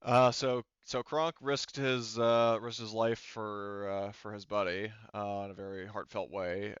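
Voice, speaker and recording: Male game master, smooth voice, informal and profane, close dry sound, slightly muffled. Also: fairly low pitch, level tone, unhurried, dry recording. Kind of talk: casual talk running a tabletop sci-fi game.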